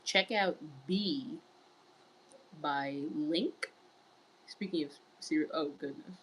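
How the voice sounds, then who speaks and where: A woman's voice: low-pitched, slow pace, a bit bright, slight background noise, poor audio. A woman streaming live in casual chat, reading viewer messages aloud and answering them. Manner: wearing large headphones. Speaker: livestreamer.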